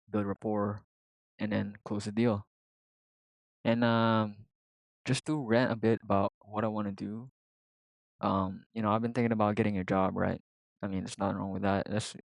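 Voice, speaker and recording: Young man, Filipino accent, lowish voice, rambling casual vlogger, dry close sound. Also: creaky, no echo, poor audio. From Philippines. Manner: rambling, many fillers and restarts. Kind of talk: casual vlog monologue.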